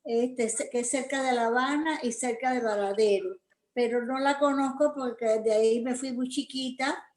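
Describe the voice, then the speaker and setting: A woman's voice: on the high side, normal speed, roomy sound. An older woman from Cuba with a Cuban accent, chatting loosely about her past with fillers. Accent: Cuban Spanish. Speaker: grandparent being interviewed. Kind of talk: recounting memories.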